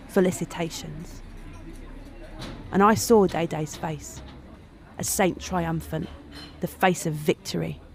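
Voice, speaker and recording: Bitter, devoted confidante. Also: female voice, fairly low pitch, darkish tone, slight background noise. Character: bitter, devoted woman confidante of a famous singer, resentful. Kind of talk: dramatic monologue in audio drama.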